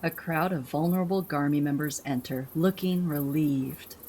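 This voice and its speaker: A woman's voice: low-pitched, fairly even intonation, normal speed, mellow. A woman reading scripted narration for a radio play. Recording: music behind the voice.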